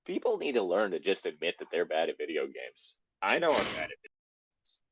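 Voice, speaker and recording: Low, animated co-host chatting casually. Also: a little fast, slightly rough, no echo, low-quality recording. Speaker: co-host reacting to a story. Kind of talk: casual chat, joking commentary.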